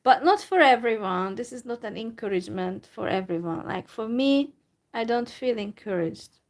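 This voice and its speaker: A woman from Hungary with a Hungarian accent, talking in a live video monologue in a downcast, resigned way, full of hesitant pauses. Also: a little high, animated. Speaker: YouTuber.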